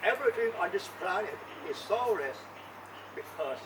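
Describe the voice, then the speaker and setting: A man from Thailand speaking English with a Thai accent, giving an earnest, deliberate Dharma talk and repeating his key points. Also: high voice, expressive, far from the mic, background noise. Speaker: Buddhist Dharma teacher.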